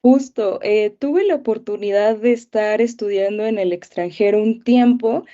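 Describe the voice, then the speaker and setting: A young Mexican woman teacher answering interview questions in a casual storytelling way, with many pauses and fillers. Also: medium pitch, mellow, lo-fi sound.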